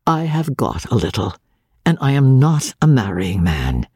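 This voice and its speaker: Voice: mid-range voice, sing-song, measured pace, a little mellow, breathy edge, no room sound, clean studio sound, full character voice. A narrator voicing a good-natured, loyal male army captain, placid and reassuring, in a period storybook.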